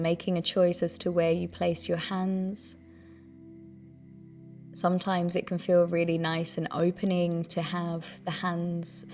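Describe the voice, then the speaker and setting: A woman leading a guided breathing meditation, giving gentle, soothing instructions in a calm, unhurried way. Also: lowish voice.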